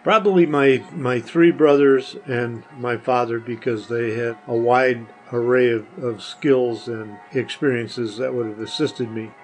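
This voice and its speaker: An older man chats casually as a guest, answering questions in a medium-pitched voice. Faint music plays behind him. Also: fairly even intonation, measured pace, few pauses, darkish tone, poor audio. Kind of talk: casual chat.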